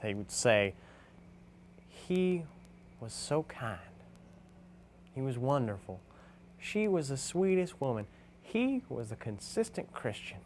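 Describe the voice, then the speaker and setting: A man's voice: mid-range voice, very animated, takes it slowly, long pauses, darkish tone, slight background noise. A man performing a dramatic monologue as a reflective, weary gravestone carver confessing his part in things. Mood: quoting mourners.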